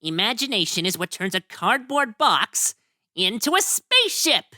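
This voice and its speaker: A very bright, bouncy voice for a naive, cheerful young male cartoon sponge, as a man puts him on. He talks in an eager, sing-song way. It sits close to the mic. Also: high-pitched, sing-song, normal speed, dry recording, heavily acted. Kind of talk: comic fan dub.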